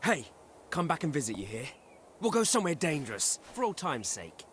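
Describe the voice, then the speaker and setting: An actor playing a wry, easygoing, roguish adventurer companion in an animated fantasy game. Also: male voice, on the high side, a little fast, slight background noise. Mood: wry, friendly.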